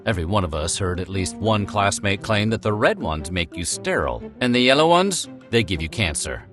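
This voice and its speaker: A male narrator reads a playful script over clear background music. His pitch swings in a lively way, and he drops in wry, joking asides. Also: fairly quick. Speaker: narrator of a food history video. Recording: music bed behind the voice.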